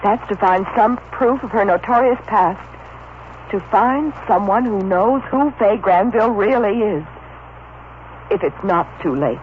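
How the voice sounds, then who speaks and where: A woman's voice: medium pitch, animated, unhurried, narrow, tinny audio, natural voice. A woman playing an earnest, worried heroine in an old-time radio soap opera. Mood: anxious, determined. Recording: thin, crackly old radio recording.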